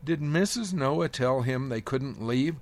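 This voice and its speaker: A man reading a prepared devotional essay aloud in a measured, reflective way with gentle wry humor. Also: medium pitch. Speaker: devotional speaker.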